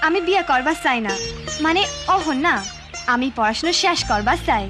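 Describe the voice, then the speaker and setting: A woman's voice: sits high, lively intonation, fairly quick, music in the background. A woman playing a studious, hesitant teenage village girl in a cartoon, with a rural Bangladeshi accent. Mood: hesitant, pleading. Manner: hesitant, pleading. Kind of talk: cartoon voice acting.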